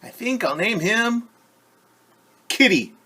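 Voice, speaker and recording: A man's voice: high-pitched, expressive, takes it slowly, sharp tone, husky, pitched above the performer's own voice, heavily acted. A man voicing a proud, quirky little girl from a children's storybook, speaking expressively and emphatically. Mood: delighted.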